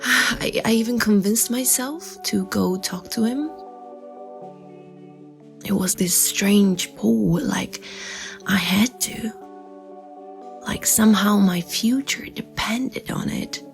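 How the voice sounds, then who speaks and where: A woman's voice: mid-range voice, slow pace, gravelly, pauses often, faint music behind. A woman playing a wistful woman confiding a mysterious memory in an intimate, hesitant audio drama style. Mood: hushed wonder.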